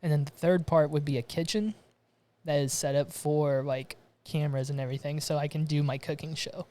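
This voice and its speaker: A man's voice: on the high side, little pitch movement, fairly quick, warm tone. Podcast guy from romania, rambly, keeps restarting, laughs a lot, just chatting in his garage. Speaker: podcast host. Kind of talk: casual chat. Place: home garage studio.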